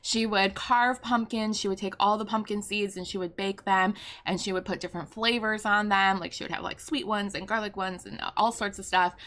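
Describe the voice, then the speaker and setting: A young American woman chats in a bright, upbeat voice with a slight hoarseness. She rambles cheerfully, full of ums and asides, into a desk mic at home. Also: medium pitch, steady tone, normal speed, few pauses. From United States. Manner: bubbly, chatty, rambling with fillers. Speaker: YouTuber and streamer. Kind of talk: casual chat over a building video. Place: home room with pastel backdrop and fairy lights.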